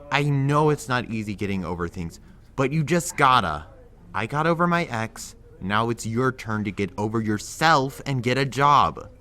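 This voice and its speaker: A blunt young friend handing out tough love, lecturing firmly in an audio drama. His voice is bright and forceful, with pitch that moves a lot. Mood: pushy. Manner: firm, lecturing.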